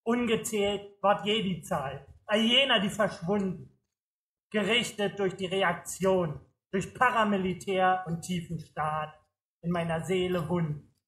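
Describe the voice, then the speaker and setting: A man reciting memorial poetry in a solemn, declamatory spoken-word style, with measured, rhythmic phrasing. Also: sits high, fairly bright tone, roomy sound, rough recording. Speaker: poet reciting at a political memorial.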